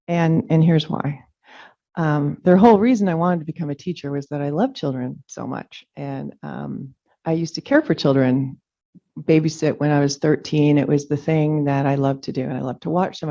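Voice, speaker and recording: Middle-aged lady, danish first language, chair's goodbye talk, low mellow voice, lots of ums, dull lo-fi sound. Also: gravelly. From California. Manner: reflective, halting, with frequent ums. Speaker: commission chair. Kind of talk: personal farewell speech at a public meeting. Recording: dull lo-fi meeting audio.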